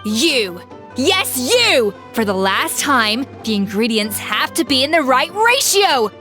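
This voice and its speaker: A severe master chef, a fairly high female voice with a formal, cutting delivery. She is a haughty perfectionist in game dialogue, with faint music behind. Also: very animated, slow, sharp tone, full character voice. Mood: angry, scolding. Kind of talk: video game voice acting.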